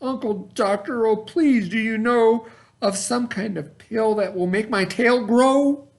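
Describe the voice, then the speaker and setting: A man voicing a jealous young girl bird from a storybook, pleading and whiny with drawn-out words. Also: high voice, gravelly, pitched above the performer's own voice.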